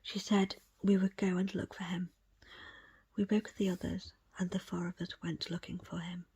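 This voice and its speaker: A woman reading a book aloud as ASMR, in a breathy, unvoiced whisper with a slow, hushed storytelling delivery. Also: on the low side, little pitch movement. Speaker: audiobook reader.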